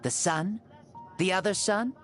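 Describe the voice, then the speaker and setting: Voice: mid-range voice, soft background music, close to an everyday voice. An actor voicing an ancient male warrior hero stuck in a boy's body, grandiose and chatty in a video game. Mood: solemn grandiose. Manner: grandiose, lively, turns solemn and slow in incantations.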